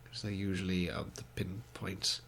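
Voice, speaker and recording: A man's voice: sits low, little pitch movement, normal speed, creaky, a little hiss or hum. A young man with a cold, sounding congested, giving wry, mock-posh let's play commentary through a headset. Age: young adult. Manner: mock-posh, wry, gentlemanly put-on accent. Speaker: gaming video creator.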